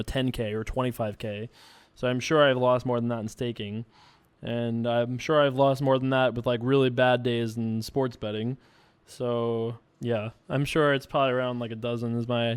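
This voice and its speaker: Smooth-voiced American man chatting casually. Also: mid-range voice. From Long Island, New York, United States. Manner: rambling, conversational, frequent 'you know'. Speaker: poker video host answering viewer questions. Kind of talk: casual chat to viewers.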